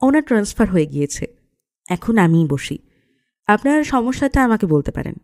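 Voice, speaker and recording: A woman's voice: medium pitch, big pitch swings, moderate pace, darkish tone. A woman playing a busy clinic doctor in an audio drama, matter-of-fact and instructing, reassuring yet a little stern. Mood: polite, businesslike. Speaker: voice actor. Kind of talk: audio story dramatic acting.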